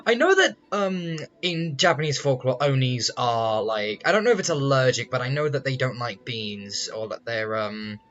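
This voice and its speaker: A woman's voice: very deep voice, expressive, normal speed, few pauses, a bit bright. A woman gamer giving casual, chatty let's play commentary on a video game. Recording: dull mic, game music faintly behind.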